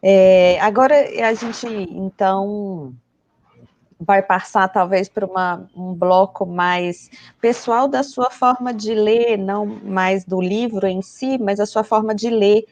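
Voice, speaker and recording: A woman interviewing a guest, asking measured questions with fillers. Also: medium pitch, fairly even intonation, darkish tone.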